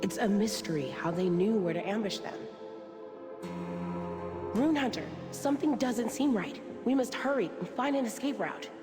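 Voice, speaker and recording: A woman's voice: mid-range voice, animated, normal speed, over music, close to an everyday voice. A woman playing a shrewd, suspicious ally in a video game cutscene, serious and urgent. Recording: long reverb.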